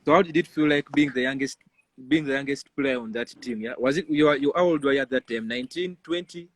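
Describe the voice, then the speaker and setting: A man's voice: medium pitch, fast talker, low-quality recording. A man with a Ugandan accent, interviewing in a casual, conversational way with informal tag questions. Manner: informal, often tags sentences with "yeah".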